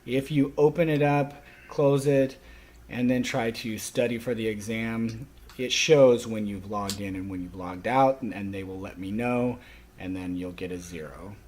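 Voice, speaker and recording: Guy with a lowish voice walking students thru a course intro, kinda stop and start phrasing. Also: a little mellow, slight background noise. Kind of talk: spoken course orientation for an ASL class.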